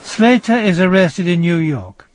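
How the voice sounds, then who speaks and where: A man narrating a true-crime radio drama in a grave, measured, literary style. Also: high-pitched, expressive, no room sound. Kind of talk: scripted dramatic documentary narration.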